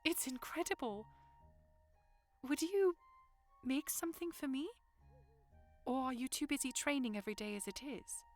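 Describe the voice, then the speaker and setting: A woman acts out a young noblewoman with a high voice. She is spirited and curious, teasing and insistent but well-mannered, in an audiodrama with faint music behind her. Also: normal speed, long pauses. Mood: curious, warm. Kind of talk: audiodrama acting.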